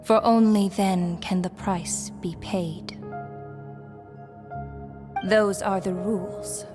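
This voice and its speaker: A woman's voice: mid-range voice, fairly even intonation, slow pace, natural voice. An actress playing a solemn female shade who rules death, speaking in grave, formal pronouncements for a video game. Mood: stern, measured. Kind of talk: dramatic video game acting. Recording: music playing behind the voice.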